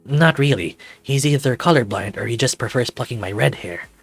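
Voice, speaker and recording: A man acts out a suave dragon lawyer from a visual novel. He is composed and self-assured, at a medium pitch. The sound is dry, with faint music behind. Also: a little fast, gravelly, no room sound. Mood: fond, chatty. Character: suave, proud male dragon lawyer in a suit.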